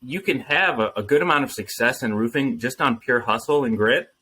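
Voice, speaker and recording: A man talking earnestly and thoughtfully as a founder guest in an interview, pausing with frequent ums. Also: medium pitch, sharp tone, a little hiss or hum. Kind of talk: casual interview answers.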